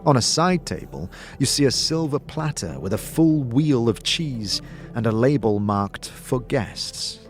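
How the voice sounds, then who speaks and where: A man's voice: mid-range voice, expressive, measured pace, faint music behind. A man reading scripted fantasy narration in the second person, as a game master telling a story.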